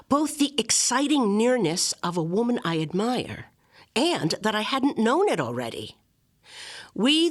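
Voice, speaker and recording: A woman reading a literary memoir aloud as scripted narration, with measured, articulate and expressive phrasing. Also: mid-range voice. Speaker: reader of a literary memoir excerpt.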